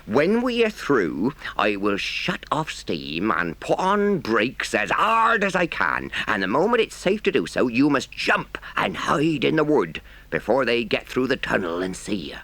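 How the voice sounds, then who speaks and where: A man's voice: medium pitch, huge pitch swings, unhurried, few pauses, sharp tone, dry recording, pitched above the performer's own voice, exaggerated voice. A man voicing a kindly but stern working-class engine driver in a storybook, folksy and emphatic. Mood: urgent. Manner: plain-spoken, emphatic, folksy. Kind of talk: storybook character voice.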